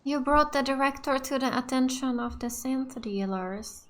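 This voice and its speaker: A woman's voice: high voice, moderate pace, fairly bright tone. A woman playing a frank, serious member of a theatre troupe in a video game. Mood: accusing. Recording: faint music behind the voice.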